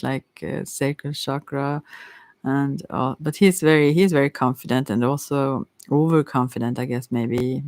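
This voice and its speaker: An ASMR tarot reader talking off the cuff in a hesitant, halting way that trails off, with a creaky voice, close to the microphone. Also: medium pitch, no echo. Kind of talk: ASMR tarot reading, unscripted.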